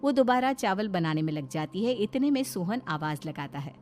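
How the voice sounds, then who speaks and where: A woman's voice: mid-range voice, animated, moderate pace, darkish tone, soft background music. A woman narrating a scripted cartoon story as its storyteller.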